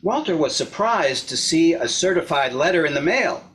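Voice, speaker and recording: A man's voice: on the high side, expressive, normal speed, fairly bright tone. A man narrating a scripted short story aloud as a reader in a readers theater. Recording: clear background noise, clicks.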